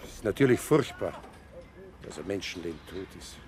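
A man's voice: low voice, lively intonation, a little fast, darkish tone. An actor playing a humble, hesitant building foreman in a radio play, deferential and trailing off. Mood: cautious, placating. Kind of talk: naturalistic radio play acting.

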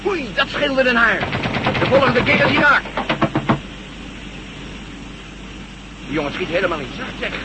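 Brash wisecracking pilot, highish voice, old radio drama w/ loud cockpit drone. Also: male voice, sing-song, brisk. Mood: excited, cocky. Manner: wry, brash, emphatic. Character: cocky, sardonic male jet pilot. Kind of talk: Dutch science-fiction radio play acting. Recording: noisy old radio play, aircraft drone.

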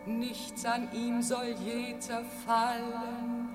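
An ethereal island spirit intones solemn verse extremely slowly in an even, hypnotic line. The voice floats from far off in a long echoing hall, with music all around it. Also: female voice, on the high side. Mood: solemn, enchanting. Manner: solemn, incantatory. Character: ethereal airy island spirit singing a solemn enchanting song. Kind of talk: slow sung verse in a classic radio play.

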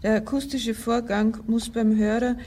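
A woman making a considered, emphatic statement on archive radio in a husky, mellow voice. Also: a little high, flat delivery, normal speed.

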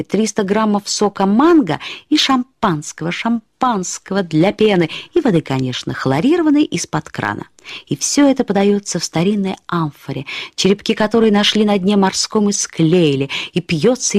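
Актриса играет рассказчицу, которая с иронией и задумчивостью вспоминает взбалмошную подругу, живо и разговорно, как в литературном радиоспектакле. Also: female voice, medium pitch, no room sound. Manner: expressive, wry, conversational storytelling. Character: reflective woman recalling her flighty, dramatic female friend. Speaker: actress reading a short story as its narrator. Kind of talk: dramatized literary narration. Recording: studio radio play with faint music.

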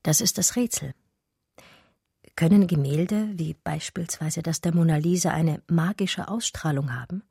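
A woman's voice: deep voice, measured pace, gravelly, slightly rough, no room sound, studio quality, full character voice. An actress playing a pensive, grieving female art historian in an intimate, reflective radio play monologue. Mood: thoughtful, then searching. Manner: reflective, lyrical, hushed. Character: Natascha, pensive art historian grieving her lost lover.

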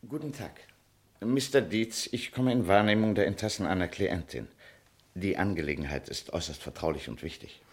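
A slick radio-drama lawyer with a lowish, husky voice. He talks formally and deliberately, insinuating as he presses his claim. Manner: smooth-talking, formal, deliberate. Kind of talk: German radio play acting.

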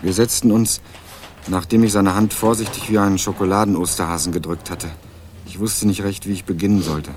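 A man's voice: low voice, fairly even intonation, brisk, a little mellow, slight background noise. A young man narrating a radio crime drama in the first person with dry, wry irony. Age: young adult.

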